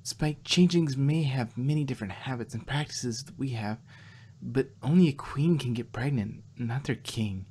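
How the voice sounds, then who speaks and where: A woman's voice: extremely low pitch, moderate pace, mellow, husky. A woman voicing a flustered, exasperated young female pony scholar in a fan fiction reading. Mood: exasperated, lecturing.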